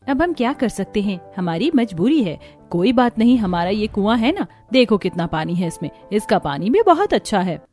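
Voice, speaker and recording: A woman's voice: mid-range voice, big pitch swings, moderate pace, few pauses, dark tone, soft background music, close to an everyday voice. A woman playing a mild, accepting young village woman in cartoon dialogue. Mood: content.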